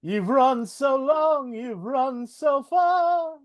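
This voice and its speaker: A woman singing as the male Goblin King, a proud ruler, slowly and intensely in a live reading over a video call. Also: high-pitched, animated, lo-fi sound, exaggerated voice. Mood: yearning. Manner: slow, intense sung lines. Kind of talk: singing in a live read-through. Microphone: computer mic on a video call.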